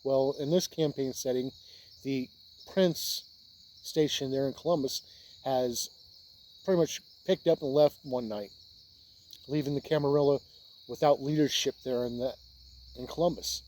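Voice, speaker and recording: An American man with a dark, relaxed voice at a middle pitch talks casually as a video host. He explains his ideas in short, halting phrases, pausing between them. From Indiana, United States. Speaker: host of a tabletop role-playing video channel. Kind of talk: casual explainer talk to camera.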